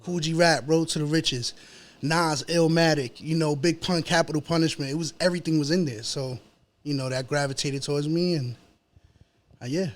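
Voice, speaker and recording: A man with a New York accent chatting casually as an interview guest, slangy and pausing as he goes. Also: on the high side, steady tone. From New York City, United States.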